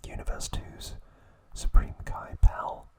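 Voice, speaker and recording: A man narrating trivia as an ASMR host in a breathy, hushed whisper, in short measured phrases.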